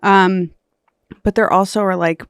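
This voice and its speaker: A woman's voice: medium pitch, fairly even intonation, measured pace, clear, resonant tone, no room sound, studio quality. A woman in her thirties, a comedian, chatting casually as a guest with a wry, self-deprecating humor and frequent laughs. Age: 30s. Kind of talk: casual chat.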